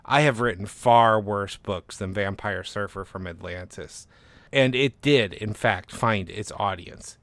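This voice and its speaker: A man's voice: on the low side, unhurried, a bit bright, no room sound. A man hosting a fiction podcast and reading a scripted first-person short story as narrator.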